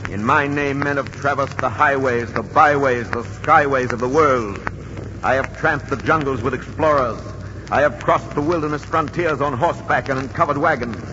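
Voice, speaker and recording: An actor voicing Adventure itself as a bold, grandiose, declamatory storyteller in 1940s radio narration. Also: male voice, medium pitch, moderate pace, natural voice. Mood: grand, stirring. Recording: dull, noisy 1940s radio recording.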